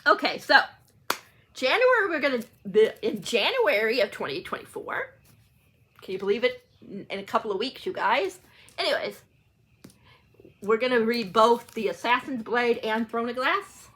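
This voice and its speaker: A woman's voice: on the high side, big pitch swings, normal speed, fairly bright tone, slightly rough. A woman talking casually to viewers in a rambling, informal book vlog, often getting sidetracked. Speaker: book channel vlogger.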